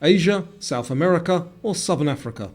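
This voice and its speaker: Higher-pitched man narrating a quiz. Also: lively intonation, brisk, a little mellow, soft background music. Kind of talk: scripted quiz narration, multiple-choice questions.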